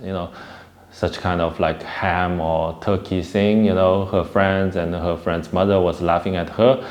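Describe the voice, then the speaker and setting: A man's voice: low-pitched, steady tone, a little slow. A man hosting a casual video commentary, talking in a rambling, hesitant way. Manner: rambling and hesitant, with frequent restarts. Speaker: host.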